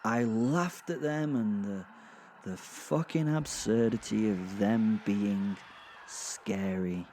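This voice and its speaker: A man playing a gleeful, foul-mouthed werewolf who narrates a dark comic horror audio drama in a rambling, conversational, sardonic way. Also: fairly low pitch, slow pace, a little hiss or hum. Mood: gleeful menace. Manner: rambling, sardonic, sweary asides with sighs and chuckles. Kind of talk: first-person storytelling, conversational dark comedy.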